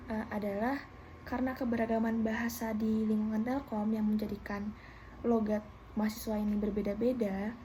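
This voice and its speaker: A young adult woman, a university student, presents her class project in a steady, slightly formal way, with occasional hesitant fillers. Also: on the high side, slight background noise, poor audio.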